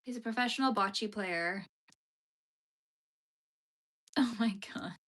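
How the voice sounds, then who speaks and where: A young woman talks easily on a live stream at a mid-range pitch while she crochets. She answers her chat with a light laugh and plenty of fillers along the way. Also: slow pace, fairly bright tone. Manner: chatty, laughs often, filler-heavy. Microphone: phone streaming vertically.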